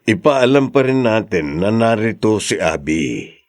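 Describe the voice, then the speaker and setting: Man as an animated, concerned Filipino householder. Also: on the low side, unhurried, dry recording, studio quality. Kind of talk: Filipino radio drama acting.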